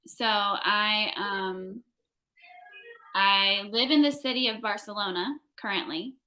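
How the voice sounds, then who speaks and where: A woman's voice: mid-range voice, slow pace, pushed and bright, faint music behind, rough recording. A young American woman tutoring English in a casual one-on-one conversation, phrasing things simply for a learner. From United States.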